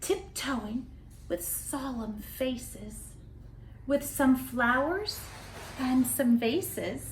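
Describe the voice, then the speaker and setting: A woman with a high, very lively voice reads a picture book aloud. She takes her time, leaning into dramatic pauses and expressive phrasing for young listeners. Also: a little hiss or hum. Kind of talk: children's story read aloud. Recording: roomy echo.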